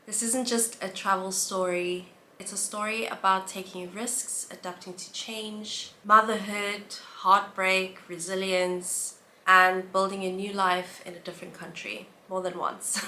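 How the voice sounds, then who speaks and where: South African woman, thirties, bright voice, casual reflective vlog chat, roomy sound. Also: mid-range voice, level tone, slight background noise. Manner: chatty, reflective, with listing pauses. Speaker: vlogger. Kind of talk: casual sit-down chat to camera. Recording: roomy echo, faint background ambience.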